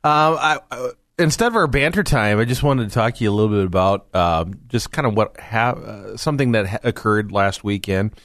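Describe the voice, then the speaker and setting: A man's voice: lowish voice, a little fast, fairly bright tone, dry recording. A man hosting a radio talk show from a studio, earnest and rambling with restarts.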